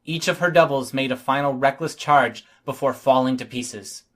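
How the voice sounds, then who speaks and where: A man's voice: medium pitch, moderate pace, fairly bright tone. A man reading scripted first-person fiction as an audiobook narrator.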